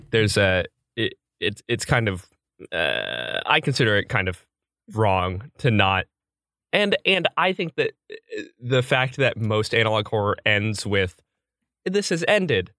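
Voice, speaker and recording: A man's voice: fairly low pitch, a little slow, no room sound, studio quality. A young adult man co-hosting a podcast, chatting casually and jokily and acting out imagined reactions. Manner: animated, jokey, acts out imagined reactions. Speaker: podcast co-host. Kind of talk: casual chat.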